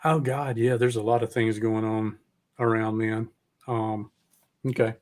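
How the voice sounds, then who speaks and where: A man's voice: on the low side, little pitch movement, unhurried, darkish tone. A man hosting a casual, rambling chat in a folksy, laid-back, profane way. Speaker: podcast host.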